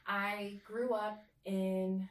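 A woman with a mid-pitched voice speaks as an interview guest, sharing personal history in casual, hesitant phrases. She sounds set back from the microphone, with noticeable room echo around her voice. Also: level tone, drawn-out words. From Hawaii, California and American Samoa. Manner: self-conscious, hesitant, laughs easily. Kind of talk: candid personal storytelling in casual chat. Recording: echoey room, distant from mic.

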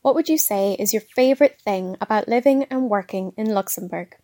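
A woman's voice: mid-range voice, brisk, dark tone. A woman hosting an interview series, reading out scripted questions in a friendly, plain way. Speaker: interview host.

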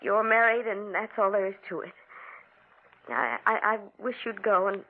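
A woman's voice: medium pitch, animated, normal speed, natural voice. An actress playing a tender, principled young woman in a 1940s radio drama, on a tinny, noisy old recording. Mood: pained, resolute.

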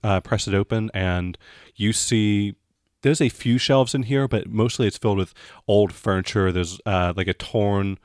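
A man working as game master, improvising scene descriptions in a halting, conversational way. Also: lowish voice, darkish tone, dry recording, clean studio sound. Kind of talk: improvised narration of a tabletop game.

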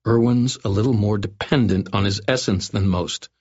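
Man as a low, quietly menacing investigator. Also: husky, dull audio. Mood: incredulous, grave. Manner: quiet, measured, threatening. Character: stern, menacing male investigator wizard, wry and controlled. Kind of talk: audiobook first-person dialogue.

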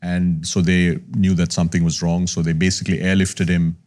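Man, 50s, from Sri Lanka; very low voice, earnest reflective podcast guest. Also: level tone, brisk, a little mellow. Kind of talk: candid conversational storytelling.

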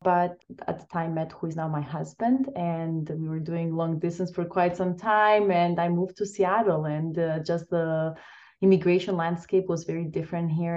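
A woman from Albania who is a guest on a podcast, telling her story thoughtfully with frequent pauses. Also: sits low, mellow, lo-fi sound. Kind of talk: interview storytelling.

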